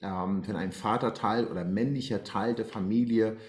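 Iran-born man in his forties, guest on a video call; halting, searching for words; low voice in an echoey room. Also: steady tone. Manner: hesitant, many fillers and restarts. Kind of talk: personal life story in interview. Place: bright room at home, indoors. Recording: roomy echo.

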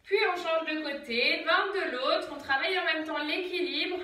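A young woman leading a home workout, calmly counting reps and cueing breaths as she exercises in an attic room, recorded on a phone set far back. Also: high voice, normal speed, heavy reverb. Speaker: fitness instructor. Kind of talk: guided home workout instruction. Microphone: phone camera from a distance.

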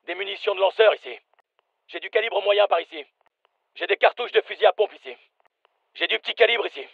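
An actor playing a brisk special forces soldier, giving clipped, commanding combat callouts for a dubbed military game. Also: male voice, high voice, vocal fry, no room sound, highs cut off. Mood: brisk, focused.

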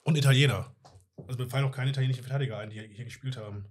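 A man's voice: medium pitch, racing, husky. A young man chatting casually as a contestant in a guessing game. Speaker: quiz contestant. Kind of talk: casual chat. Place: small indoor podcast studio. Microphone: studio microphone on desk arm.

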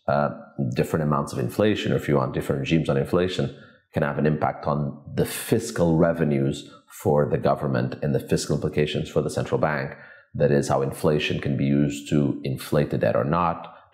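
A man in his forties from Portugal, an economics professor giving measured, academic interview answers in an office. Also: extremely low pitch, darkish tone, roomy sound. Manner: measured, academic, thinks aloud with restarts. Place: office with glass walls, indoors.

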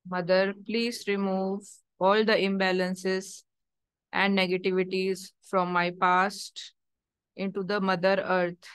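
Slow, even-toned woman leading meditation. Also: medium pitch, clear, resonant tone, no echo. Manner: measured, short phrases with long pauses. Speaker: guided meditation leader. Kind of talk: guided meditation, gentle instruction and prayer.

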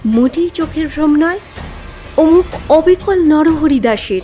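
Lady from reading a spooky story, really slow n expressive, high voice. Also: faint music behind. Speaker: audiobook narrator. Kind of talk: dramatic scripted horror story narration.

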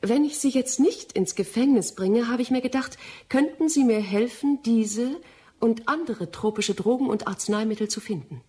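An actress playing a shrewd, scheming female pharmacy expert in a radio drama, with a husky, breathy voice. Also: on the high side, moderate pace, dark tone. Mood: calculating, confiding. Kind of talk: German radio play acting.

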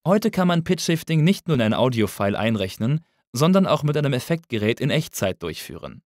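A man reading a scripted tutorial as an instructor, in a measured, didactic manner. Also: mid-range voice, big pitch swings, darkish tone, no echo, clean studio sound. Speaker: audio engineering instructor. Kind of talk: scripted lesson narration.

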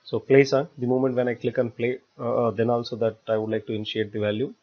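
A man narrating a step-by-step software tutorial in a halting, explanatory way. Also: mid-range voice, flat delivery. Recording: dull lo-fi voice-over recording.

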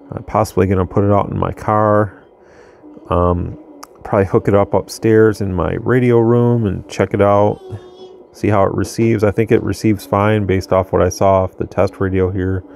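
Very low, gravelly-voiced man. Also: slight background noise. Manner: relaxed, thinking aloud, restarts. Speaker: radio reviewer. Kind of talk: casual product demo. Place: workbench in a home radio room.

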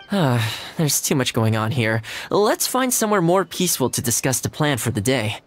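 Male actor as cheerful young anime man, lively swooping intonation, laid-back, polite, video game dialogue. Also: medium pitch, moderate pace, faint music behind, close to an everyday voice. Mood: easygoing. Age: young adult. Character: friendly, easygoing young male anime housekeeper.

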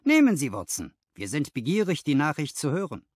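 A man voicing an excited, commanding detective in an audiobook, with emphatic exclamations. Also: a little high, brisk, dry recording, clean studio sound. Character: excited, commanding male detective, sharp and assured. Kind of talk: audiobook character dialogue.

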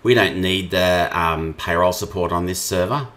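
A man giving an informal screen-share tutorial, explaining things step by step as a software trainer. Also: sits low, moderate pace.

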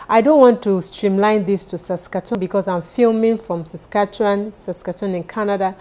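A woman's voice: medium pitch, dark tone. A woman from Nigeria in her thirties with a Nigerian accent, giving earnest, encouraging advice straight to camera from her living room sofa. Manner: earnest, encouraging, repeats key points. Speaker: vlogger for immigrants. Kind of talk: direct-to-camera advice vlog.

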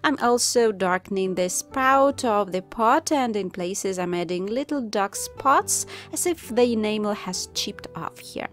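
A woman calmly narrating a step-by-step craft tutorial as the channel host, in voice-over. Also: medium pitch, measured pace, few pauses. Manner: calm, instructive. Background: soft background music.

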